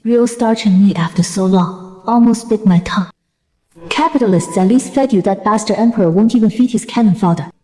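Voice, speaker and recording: A woman's voice: mid-range voice, a little fast, warm tone, close to an everyday voice. A woman voicing a blunt, weary young female army general in an anime dub, bossy and sardonic. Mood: brisk, instructive. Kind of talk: dubbed motion-comic anime narration and dialogue.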